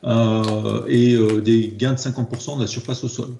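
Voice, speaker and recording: A man's voice: mid-range voice, flat delivery, moderate pace, creaky, warm tone, slight background noise. A man with a French accent explaining slides as a trainer, hesitant and often repeating words as he goes. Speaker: presenter, trainer in process improvement. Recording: dull, echoey room recording.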